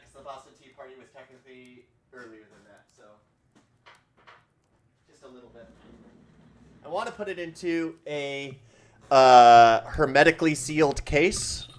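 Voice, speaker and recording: A male streamer chats casually while he plays, rambling from one thought to the next and laughing at himself along the way. His voice sits at an everyday mid pitch, and he talks from a room at home. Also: slight background noise. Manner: rambling, self-deprecating, laughs often. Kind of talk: casual livestream chat while playing a game. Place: home room with a collection of objects.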